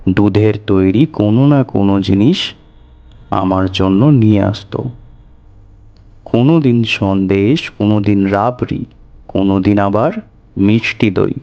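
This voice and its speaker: A man narrating a scripted horror story in the first person, in a measured, deliberate way. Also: fairly low pitch, highs cut off. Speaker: storyteller narrator voicing a tantric recounting his past. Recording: music bed under the voice. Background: music.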